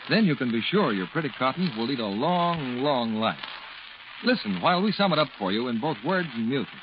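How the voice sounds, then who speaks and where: A man's voice: mid-range voice, big pitch swings, brisk. A man with an American accent reading sponsor copy as a polished 1940s radio announcer. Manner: polished, salesmanlike. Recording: noisy, muffled 1940s radio broadcast.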